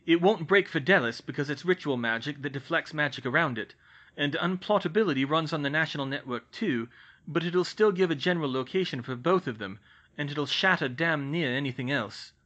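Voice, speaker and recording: A man reading aloud voices a calm young wizard who explains magic in a storybook. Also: on the high side, brisk, lo-fi sound, pitched above the performer's own voice. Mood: explaining. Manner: steady, explanatory. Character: calm, knowledgeable young curse-breaker man.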